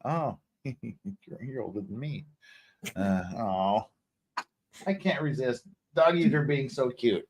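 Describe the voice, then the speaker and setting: A man's voice: mid-range voice, animated, takes it slowly, pauses often, darkish tone, a little hiss or hum. A man hosting a livestream with casual, joking, teasing banter. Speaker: livestream host.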